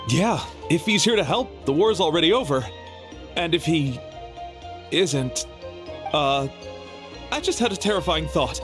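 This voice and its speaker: A jumpy young man with a notably high voice, chatty and quick to picture the worst. Game music is clearly heard behind him. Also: expressive. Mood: nervous. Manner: nervous, chatty, speculating. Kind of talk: video game voice acting.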